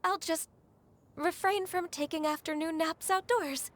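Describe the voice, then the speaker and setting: An actress playing a gentle, polite and hesitant young woman in a video game cutscene. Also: very high voice, unhurried. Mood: flustered, polite.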